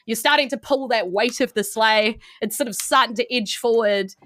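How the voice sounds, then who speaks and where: A woman with a New Zealand accent narrating a tabletop game as game master, improvising in a casual, animated way. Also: on the high side, normal speed, no room sound.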